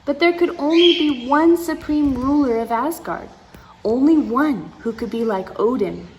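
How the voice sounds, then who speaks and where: Slow-paced woman storyteller with noisy background. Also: sits high, animated, a little mellow. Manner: addresses young listeners directly, playful asides, dramatic emphasis. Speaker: children's storyteller reading aloud. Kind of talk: expressive picture-book read-aloud for children.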